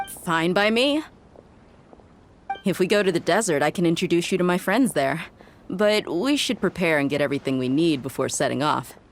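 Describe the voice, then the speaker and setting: A woman voicing a cool, steady, dependable desert mercenary in a video game, calm and laconic. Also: fairly low pitch, normal speed. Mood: calm, supportive. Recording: faint ambient noise.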